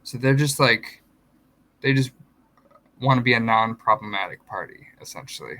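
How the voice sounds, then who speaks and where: Bright-voiced man explaining politics. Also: mid-range voice, expressive, normal speed. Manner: explanatory, self-correcting. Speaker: podcast co-host. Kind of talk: casual political chat.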